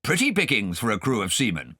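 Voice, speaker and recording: A male narrator voicing a stout, pompous, blustering gentleman guardian who fires off questions in an audiobook. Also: fairly low pitch, wildly swooping pitch, a little fast, dry recording, studio quality, heavily acted. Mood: blustering, scheming. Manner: pompous, quizzing with "eh?".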